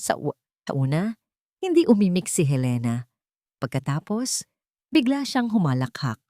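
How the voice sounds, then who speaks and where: Low-voiced woman narrating a drama story. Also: very animated, normal speed, mellow, no echo, studio quality. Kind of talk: dramatic scripted first-person story narration.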